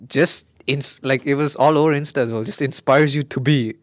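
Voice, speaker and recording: Excitable young man chatting about movies. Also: medium pitch, a little fast, no echo. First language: Malayalam. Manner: excitable, gushing, trails off mid-sentence. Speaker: podcast co-host. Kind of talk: casual chat.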